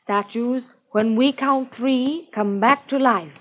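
An actress playing a gracious, stately fairy in a children's radio drama, with solemn, measured delivery. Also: female voice, a little high. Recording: noisy lo-fi old radio recording.

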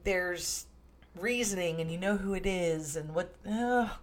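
A woman co-hosting a podcast, chatting casually about a film and chiming in with agreement. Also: on the low side. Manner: chatty, quick to agree and laugh. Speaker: podcast co-host.

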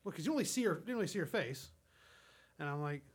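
A man's voice: a little high, lively intonation, fast talker, husky. A man hosting a crude comedy podcast, chatting casually in a rambling, repetitive way and swearing freely. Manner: rambling, repeats himself, swears. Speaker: podcast host.

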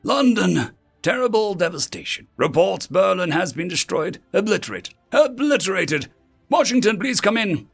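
A man's voice: high voice, sing-song, moderate pace, gravelly, breathy edge, soft background music, voice pushed up, full character voice. A man voicing a desperate mission control operator making urgent, pleading radio calls in a story.

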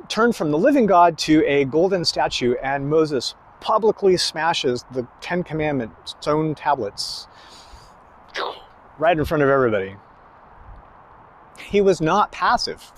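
A man preaching a sermon slowly and emphatically, pausing between phrases. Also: a little high, expressive, a little hiss or hum. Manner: slow, emphatic preaching with long pauses.

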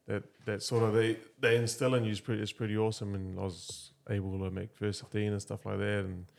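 Gravelly laid-back New Zealand man. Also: lowish voice, flat delivery, fairly quick. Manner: laid-back, joking, self-deprecating yarns. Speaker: podcast guest, former rugby player. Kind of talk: casual chat.

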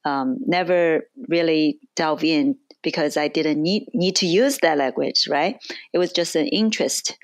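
A woman's voice: low voice, a little slow, dry recording. A woman in her forties with a Chinese accent, answering interview questions in a thoughtful way, with frequent fillers and restarts. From China.

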